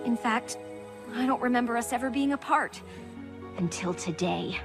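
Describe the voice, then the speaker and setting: A woman's voice: fairly high pitch, expressive, normal speed. A woman voicing a zealous, theatrical female pony cult leader in a cartoon style. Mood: wounded, bitter. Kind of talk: cartoon character audition acting. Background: music.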